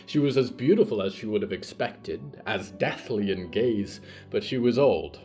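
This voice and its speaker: A man's voice: fairly low pitch, expressive, a little mellow, faint music behind. Theatrical male storyteller with swooping intonation. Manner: deliberate, literary, theatrical. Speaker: narrator of a fiction podcast. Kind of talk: scripted dramatic story narration.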